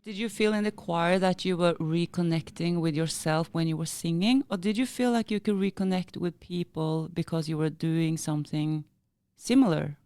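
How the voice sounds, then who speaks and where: A woman's voice: on the low side, steady tone, moderate pace, darkish tone, dry recording. A woman co-hosting a podcast, asking curious questions in a casual, teasing way and laughing often. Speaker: podcast co-host. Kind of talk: casual conversational chat.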